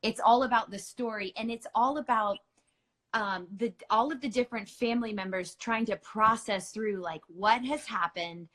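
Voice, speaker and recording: A woman's voice: a little high, moderate pace, a bit bright. Middle-aged woman running a book club chat, playful and loose, lots of ums. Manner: chatty, playful, lots of fillers. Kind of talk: casual chat.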